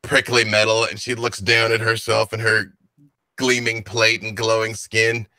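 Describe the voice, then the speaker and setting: Gravelly, bright game master narrating. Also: male voice, fairly low pitch, flat delivery, unhurried, no room sound, rough recording. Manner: descriptive, hesitant fillers.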